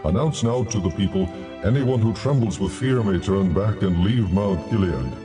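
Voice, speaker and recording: A man playing God in a dramatized audio Bible, solemn, authoritative and measured. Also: lowish voice, over music, lightly acted. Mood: solemn, instructing. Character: God, calm commanding divine male voice.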